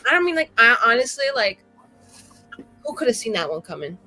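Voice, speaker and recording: A woman's voice: a little high, sing-song, moderate pace, pushed and bright, soft background music. A woman chatting casually as a player on a roleplaying show, dramatic, wry and rambling. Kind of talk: casual chat.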